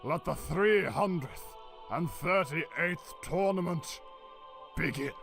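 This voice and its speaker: A gravelly, pompous desert ruler, voiced by a man, speaking slowly and with grand ceremony. His voice sits a little high, and music plays underneath him in this fan-made radio drama. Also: fairly bright tone, full character voice. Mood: ceremonious. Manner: grand, ceremonious speechmaking, fawning to guests. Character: pompous male desert ruler hosting a tournament.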